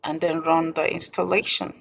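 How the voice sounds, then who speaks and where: Female tutorial presenter, low register with gravelly fry, informal and deliberate instruction. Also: level tone, a bit bright, low-quality recording. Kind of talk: informal step-by-step screen tutorial.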